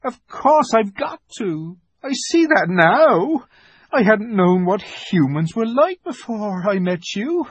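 A man voicing a timid, weeping male faun who pleads slowly and frets. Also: sits high, very animated, slightly rough, dry recording, voice pushed up, heavily acted. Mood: anxious. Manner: fretful, pleading, slow and drawn out.

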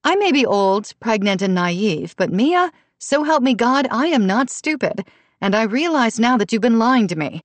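A woman's voice: high voice, very animated, a little slow, no echo, voice pushed up, exaggerated voice. A woman narrator voicing a worried, exasperated, pleading pregnant mother in an audiobook. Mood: confronting, worried.

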